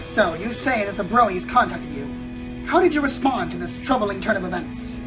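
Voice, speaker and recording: A woman's voice: mid-range voice, expressive, moderate pace, natural voice. Music plays behind a woman acting a sensationalist mare reporter in an audio drama. Her mid-range voice is theatrical and smug, pressing with pompous, insinuating questions. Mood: probing. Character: sensationalist female pony news reporter, probing and smug. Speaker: interviewer. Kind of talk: radio play acting, theatrical interview.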